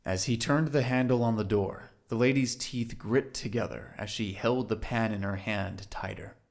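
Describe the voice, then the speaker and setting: A man's voice: on the low side, moderate pace, creaky. A man narrating a scripted audio drama in a literary storytelling style.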